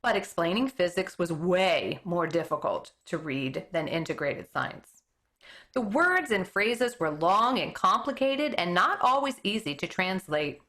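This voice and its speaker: A woman teacher reading a children's book aloud in an expressive way, now and then stumbling over a word and correcting herself. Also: fairly low pitch, measured pace.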